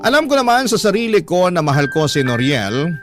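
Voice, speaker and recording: Higher-voiced Filipino man telling a story. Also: big pitch swings, fairly quick. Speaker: radio drama narrator reading a letter. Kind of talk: dramatic scripted storytelling. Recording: prominent music bed under voice. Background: music.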